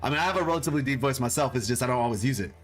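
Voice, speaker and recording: A man's voice: mid-range voice, racing, soft background music. A man chatting casually on a game stream, rambling with lots of fillers in a laid-back way. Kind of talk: casual live-stream chat.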